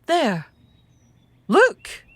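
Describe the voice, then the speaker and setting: An imperious, bitter wife in a radio play. She is scornful and vengeful, with melodramatic delivery at a deliberate pace. The sound is dry and close. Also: high-pitched, huge pitch swings, no echo, exaggerated voice. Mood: scornful, commanding. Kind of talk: radio drama acting.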